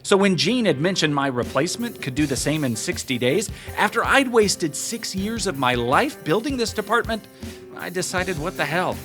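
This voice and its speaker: A man's voice: sits high, very animated, moderate pace, over music. An actor playing an aggrieved, self-important male marketer in a comedic audio drama, with a wry conversational delivery. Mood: defiant, boastful.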